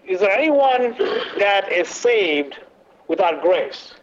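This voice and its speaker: A man leading a church workshop talk, loud but not booming, halting with many fillers and quizzing the audience. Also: big pitch swings, a little hiss or hum. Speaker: workshop teacher. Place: meeting room, away from the podium. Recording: dull lo-fi recording.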